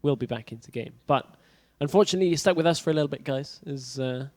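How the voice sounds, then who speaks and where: A man casting an esports match, teasing his co-caster with wry humor during a pause. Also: fairly high pitch, darkish tone. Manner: wry, teasing, upbeat filler talk. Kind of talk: casual caster banter. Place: event stage in a venue.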